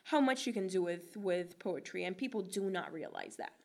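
A woman's voice: fairly low pitch, expressive, normal speed. A young woman from Egypt whose first language is Arabic, chatting as an interview guest with lots of fillers and frequent laughs. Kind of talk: casual interview answers.

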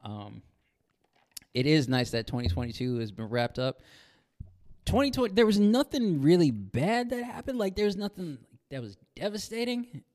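A man's voice: a little high, big pitch swings, moderate pace, a little mellow. A young American man co-hosting a podcast, talking casually with a friend, with slang and some swearing. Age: young adult. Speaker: podcast co-host.

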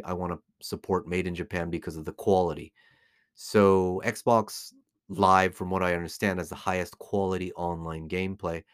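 A middle-aged man with an American accent speaks in a fairly low register. He delivers informal, opinionated commentary straight to listeners, leaning on repeated phrases for emphasis. The recording is dry, with hardly any room sound. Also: no echo. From United States. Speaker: podcast host, collectibles dealer.

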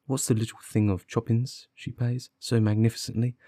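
A man voicing a confident, impatient gentleman detective, articulate and sardonic, in an audiobook. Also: medium pitch, level tone, normal speed, dry recording, clean studio sound, natural voice. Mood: excited. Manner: articulate, commanding, witty. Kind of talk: audiobook character dialogue.

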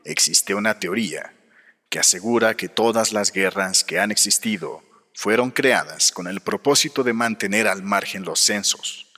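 An actor playing a solemn voice musing on war, delivering a scripted monologue in a Spanish-language radio drama. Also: male voice, lowish voice, moderate pace, pushed and bright. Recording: long echoing reverb.

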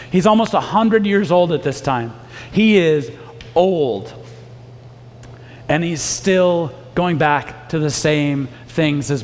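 A man teaching a Bible study in a casual, joking sermon style, often restarting his sentences. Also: on the high side. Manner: joking, self-deprecating, frequent restarts. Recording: long reverb of a hall.

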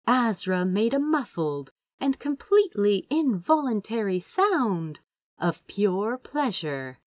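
A woman reading a story aloud as dramatic scripted narration, with expressive, drawn-out emphasis. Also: mid-range voice, dry recording. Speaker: amateur audiobook reader of fan fiction.

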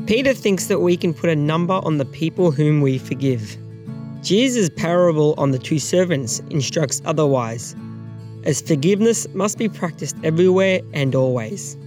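A man presenting a religious programme in scripted narration, introducing readings and reflections. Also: on the high side. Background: plucked guitar music.

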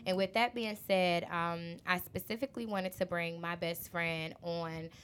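A woman's voice: lowish voice, unhurried, a little hiss or hum. A woman hosting a casual podcast chat with friends, talking in an informal, giggly way. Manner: giggly, animated among friends. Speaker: podcast host.

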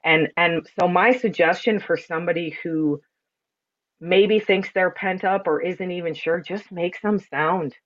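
A woman with a deep, resonant, loud voice talking expressively and reflectively in a conversational interview, with earnest emphasis. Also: unhurried, muffled recording. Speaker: podcast guest, spiritual sound and ceremony practitioner.

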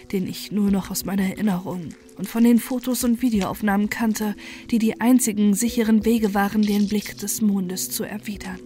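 A woman reading a scripted first-person horror story in a measured, grave storytelling manner. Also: medium pitch, dark tone. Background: music.

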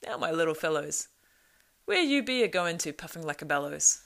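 A woman's voice: low-pitched, very animated, moderate pace, vocal fry, fairly bright tone, full character voice. A woman voicing a jolly, boisterous old man of the woods from a storybook, with a rhyming, sing-song and exclamatory delivery. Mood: brisk, hearty. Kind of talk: storybook character voice.

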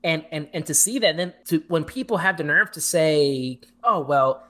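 Brief: deep-voiced woman, casual co-host, halting and repetitive delivery. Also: expressive, fairly bright tone. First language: English. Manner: stammers and repeats words. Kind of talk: casual chat.

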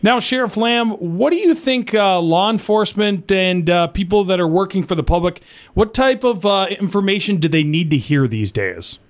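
Male host for a casual interview: playful, joking, very animated pitch, a little high. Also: moderate pace. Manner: joking, friendly, laughs easily. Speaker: radio host.

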